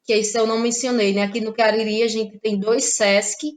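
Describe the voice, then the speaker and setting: A woman's voice: a little high, flat delivery, fairly quick. A woman with a northeastern Brazilian accent from Ceará, speaking reflectively as an interview guest and often checking for agreement with small tag questions. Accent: Cearense northeastern Brazilian. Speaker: interview guest, playwright and actress. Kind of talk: conversational interview.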